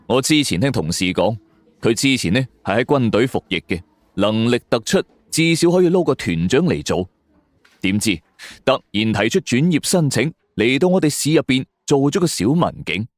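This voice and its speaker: A man narrates a suspense story in the first person, in a theatrical, deliberate way. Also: medium pitch, no echo. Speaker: storyteller narrating audio drama. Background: background music.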